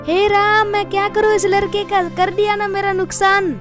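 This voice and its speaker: Woman as a shrill, very high-pitched cartoon stepmother. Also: level tone, moderate pace. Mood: scolding. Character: cruel, scolding village stepmother. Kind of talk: Hindi cartoon story voice acting. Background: music.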